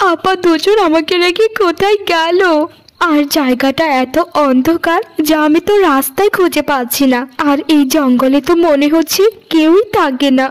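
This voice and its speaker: Cartoon youngest sister , voiced by a woman: high-voiced girl, trusting, timid, earnest. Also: rough recording. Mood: frightened, pleading. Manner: earnest, pleading. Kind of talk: Bengali cartoon moral tale.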